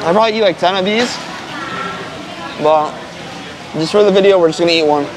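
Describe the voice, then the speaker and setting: A man's voice: high voice, brisk. Chatty American man on a noisy street. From United States. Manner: chatty, friendly, explains local words to viewers. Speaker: travel vlogger. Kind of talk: casual walking street food vlog. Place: busy city street with traffic. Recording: street noise and traffic behind.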